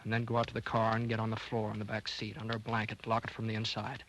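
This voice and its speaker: An actor playing a scheming doctor and husband plotting murder in an old-time radio drama, hesitant under strain. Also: male voice, fairly low pitch, monotone, fast, a bit bright, slight background noise, poor audio. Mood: furtive, urgent. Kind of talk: old-time radio drama acting and first-person narration. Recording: old radio broadcast with music.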